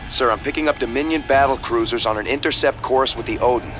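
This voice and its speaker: An actor playing an earnest, disciplined ship's officer in a game, reporting in a formal, measured manner. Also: male voice, medium pitch, over music, close to an everyday voice. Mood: urgent.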